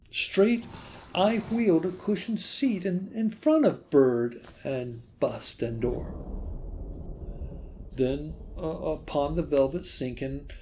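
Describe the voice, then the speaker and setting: A man giving a dramatic, theatrical recitation of a gothic poem, with halting delivery and long pauses. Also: a little high, warm tone, slight background noise. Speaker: poetry reader.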